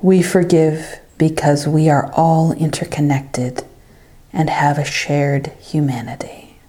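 A woman leading a scripted devotional guided meditation, with hushed phrase endings and long pauses. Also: deep voice, steady tone.

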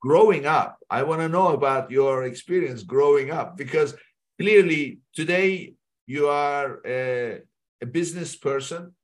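A man's voice: a little high, measured pace. A man hosting a podcast, speaking bluntly, laughing easily, and asking probing questions. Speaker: podcast host. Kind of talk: casual interview talk.

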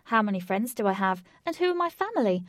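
A woman voicing a defiant young woman who confronts her stalker in a raised, forceful voice. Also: big pitch swings, quick pace, dry recording, clean studio sound. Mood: insistent. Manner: forceful, pleading, high.

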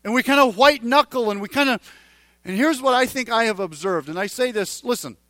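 A man in his forties giving a conversational sermon as a pastor, halting and restarting phrases as he speaks straight to his congregation. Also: very high voice, bright, forceful tone, no echo. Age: 40s.

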